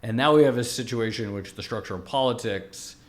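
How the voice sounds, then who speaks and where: A man's voice: medium pitch, a little fast. A man in his thirties, a podcast guest, explaining his ideas in long, reasoned stretches. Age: 30s. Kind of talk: thoughtful interview conversation.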